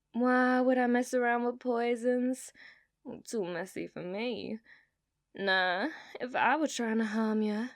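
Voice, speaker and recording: Slow, drawling cowgirl outlaw, played by a woman. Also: mid-range voice, dry recording, studio quality. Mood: teasing. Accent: put-on Southern US. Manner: teasing, drawling, slips into soft whispers. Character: obsessive, dominant female outlaw cowgirl. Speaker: ASMR performer. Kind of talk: ASMR roleplay.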